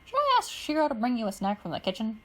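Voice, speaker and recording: A woman reading aloud lifts her voice into a chatty, cheerful older male advisor, brisk and fussy. He runs upbeat and quick, his voice pitched up bright. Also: huge pitch swings, exaggerated voice. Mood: reassuring.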